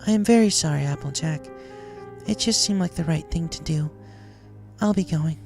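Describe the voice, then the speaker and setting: A gentle royal alicorn princess, voiced by a woman, set higher than plain narration. She speaks with formal, restrained dignity in a fan fiction reading with music behind. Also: moderate pace, creaky. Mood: regretful, resolute.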